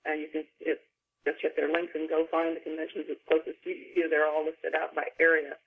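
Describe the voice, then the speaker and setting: A man's voice: a little high, completely flat delivery, a little fast, creaky, a little hiss or hum. A man speaking as a panel guest, giving practical directions conversationally over a phone-like line. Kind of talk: conversational explanation. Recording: narrow telephone-like line.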